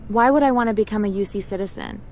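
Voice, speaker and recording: A woman's voice: medium pitch, quick pace, darkish tone, soft background music, lightly acted. Woman playing a casual, upbeat female hacker recruit. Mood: curious, upbeat. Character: curious, upbeat young female hacker turned space recruit. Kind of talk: roleplay game dialogue.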